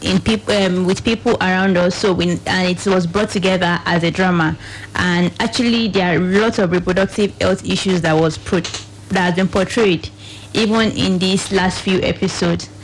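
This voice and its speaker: A woman's voice: lowish voice, fairly even intonation, moderate pace, slight background noise, rough recording. A Nigerian woman, a program officer speaking as a studio guest, explaining things in a radio interview in loosely pieced-together phrases.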